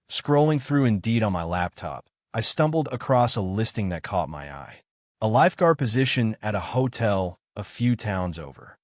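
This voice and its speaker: Guy telling scary stories, lower voice, swoops up and down a lot, really dry close sound. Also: dry recording. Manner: halting, suspenseful delivery in short broken phrases. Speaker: horror story narrator. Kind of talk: dramatic first-person story narration.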